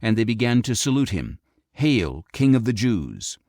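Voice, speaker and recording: A man reading scripture as an audiobook narrator, in a measured, expressive storytelling delivery. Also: on the low side, no room sound, studio quality.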